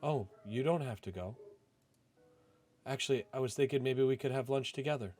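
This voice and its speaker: A man voicing a shy, kind young woman coworker in a visual novel, tentative and gentle. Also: mid-range voice, normal speed, pitched above the performer's own voice, close to an everyday voice. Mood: hopeful, timid. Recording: music playing behind the voice.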